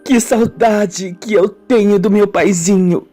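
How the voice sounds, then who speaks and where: A woman acting a slow, wounded Brazilian woman confessing. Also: mid-range voice, steady tone, mellow. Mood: wistful, bitter. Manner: slow, melodramatic, deliberate storytelling. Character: Simone, wounded woman confessing her painful childhood, slow and brooding. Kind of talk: amateur radio soap acting. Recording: music bed under the voice.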